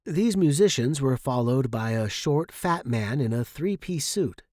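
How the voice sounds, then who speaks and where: A man's voice: medium pitch, lively intonation, unhurried, darkish tone, no room sound, studio quality. A man reading a first-person short story dramatically, as its narrator.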